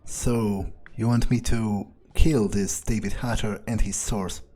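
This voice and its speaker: A man voicing a cynical, bored male vampire in an improvised game playthrough, offhand, deadpan and sarcastic. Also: on the low side, slow. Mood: dry, sarcastic. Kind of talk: improvised in-character gaming playthrough.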